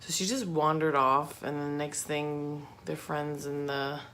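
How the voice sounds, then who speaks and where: A woman with a low voice tells true crime stories at a slow pace. She is informal and conversational, dropping in slangy asides. There is faint noise behind her. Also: fairly even intonation, fairly bright tone. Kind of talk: casual true crime storytelling.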